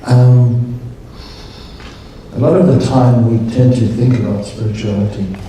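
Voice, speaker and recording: A man speaking at a conference, leading a guided meditation in a calm, slow, measured way. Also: mid-range voice, faint music behind. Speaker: meditation leader. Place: large conference hall. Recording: echoey hall, distant mic.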